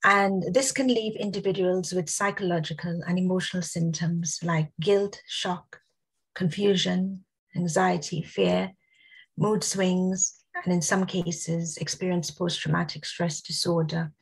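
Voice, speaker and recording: A woman giving a prepared talk on a video call, losing her voice and clearing her throat, measured and listing points with frequent ums. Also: on the low side, steady tone, poor audio. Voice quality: husky, gravelly. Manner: measured, reads out lists with many ums. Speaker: guest speaker. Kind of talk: prepared talk at an online summit.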